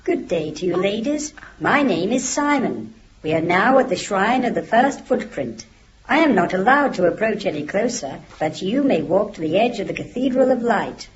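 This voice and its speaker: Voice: medium pitch, expressive, normal speed, lightly acted. Performer as a courteous robot tour guide, muffled. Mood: bland, courteous. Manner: formal, scripted, repetitive politeness. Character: polite automated tour-guide machine, courteous and unvarying. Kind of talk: science fiction radio drama acting. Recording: dull, noisy radio drama recording.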